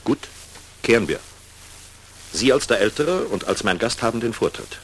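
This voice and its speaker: An actor playing a worldly middle-aged air force general with dry, ironic wit in a German radio drama. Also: male voice, medium pitch, lively intonation, moderate pace, a bit bright, natural voice. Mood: sardonic. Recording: hissy 1960s mono radio recording, clicks.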